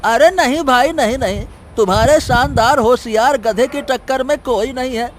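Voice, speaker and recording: An actor voicing a sarcastic market bystander who jeers with exaggerated praise in a comic animated story. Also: sits high, normal speed, slight background noise, lightly acted. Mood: mocking.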